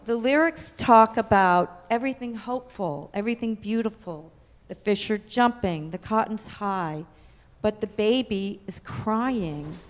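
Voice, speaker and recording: Relaxed woman introducing a piece in a reverberant hall. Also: medium pitch, a little slow. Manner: relaxed, conversational. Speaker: cellist. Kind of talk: short spoken intro to a music piece. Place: church sanctuary.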